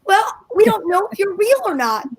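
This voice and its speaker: A woman playing an excitable wife in an old-time radio comedy, who also jokes as a fortune teller. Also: very high-pitched, moderate pace, exaggerated voice, rough recording. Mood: excited. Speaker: radio play performer.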